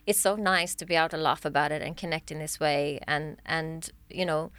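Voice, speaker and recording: A woman's voice: sits low, steady tone, a little fast, a bit bright, clean tone, dry recording. A woman life coach speaking as a podcast guest in an earnest, encouraging way, often restarting her phrases. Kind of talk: casual podcast chat.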